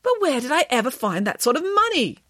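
A woman's voice: high-pitched, big pitch swings, fairly quick, fairly bright tone, dry recording, studio quality, voice pushed up. A woman voicing a cheerful, oblivious, greedy pig nanny who exclaims and asks puzzled questions in a storybook. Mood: bewildered.

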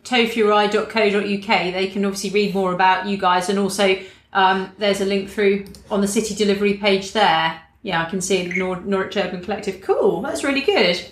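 A woman hosts a relaxed radio chat, enthusiastic and quick to laugh. Her voice sits at a middle pitch and has a roomy echo around it. She fills her questions with ums and friendly asides. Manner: enthusiastic, laughs often, many fillers. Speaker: radio host. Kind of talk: casual radio interview.

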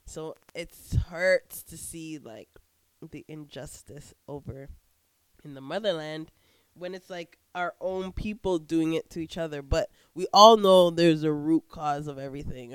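A woman's voice: sits low, unhurried, clean tone, dry recording. Woman with a stuffy cold voice. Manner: candid, rambling with many restarts. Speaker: podcast co-host. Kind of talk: casual chat. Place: at the co-host's home.

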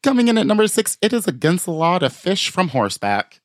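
A man's voice: sits high, big pitch swings, brisk, no echo, studio quality. A man co-hosting a podcast, chatting casually and riffing on absurd scenarios with plenty of swearing. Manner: jokey, riffs on absurd scenarios, swears freely. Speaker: podcast co-host. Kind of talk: casual comedic chat.

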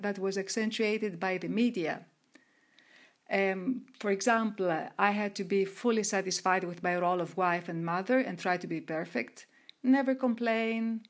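A middle-aged woman from Greece, answering interview questions in a measured way with frequent restarts and fillers. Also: mid-range voice. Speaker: guest, researcher and coach of women entrepreneurs.

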